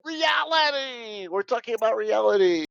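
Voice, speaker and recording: A man's voice: high voice, lively intonation, moderate pace, creaky, fairly bright tone, dry recording. A man who is a podcast guest, speaking in an emphatic, preachy way and repeating his key words. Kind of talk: interview talk. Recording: dry, dull lo-fi audio.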